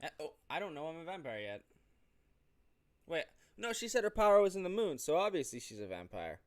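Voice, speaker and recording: A man's voice: on the high side, very animated, fairly quick. A man hosting a let's-play, talking casually with rambling, jokey asides and reading on-screen text aloud. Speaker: host of a gaming show.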